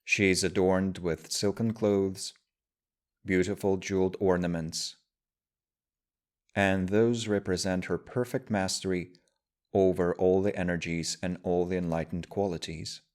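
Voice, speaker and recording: A man guiding a meditation as a teacher, speaking in measured, deliberate phrases with pauses. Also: sits low, fairly even intonation. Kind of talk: guided meditation, slow spoken instruction.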